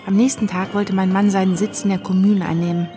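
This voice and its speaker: Actress as a confiding young wife, medium pitch. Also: female voice, flat delivery, fairly quick, dark tone, soft background music, close to an everyday voice. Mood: confiding, worried. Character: anxious, dutiful young wife recounting her life. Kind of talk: first-person radio drama narration.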